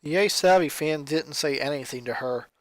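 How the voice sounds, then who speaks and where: A man's voice: on the high side, moderate pace, no echo. A man reading fan fiction as a dramatic, scripted story narration.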